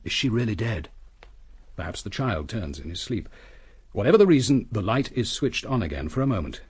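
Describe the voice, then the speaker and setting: A husky British detective inspector, methodical and cool. His delivery swings widely in pitch as he deliberately reconstructs events, as in a radio murder mystery. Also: sing-song, darkish tone. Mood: tense, deliberate. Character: coolly brilliant male police inspector, methodical and probing.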